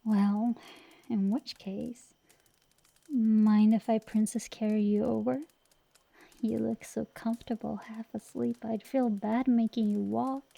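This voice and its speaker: A woman playing a brash but secretly tender dragon girl sorceress in an intimate ASMR roleplay, soft and sometimes whispering, teasing and affectionate, close to the mic. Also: on the high side, little pitch movement, takes it slowly, dry recording, full character voice. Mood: playful, gentle. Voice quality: soft, breathy whisper at times.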